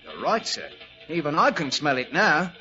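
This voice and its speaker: High, folksy British railwayman. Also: huge pitch swings, moderate pace, pushed and bright, faint music behind, exaggerated voice. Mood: bemused, astonished. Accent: British working-class. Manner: rambling, homely, deferential. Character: chatty, folksy, slow-witted working-class railwayman. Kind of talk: 1940s radio drama acting. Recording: dull vintage radio recording.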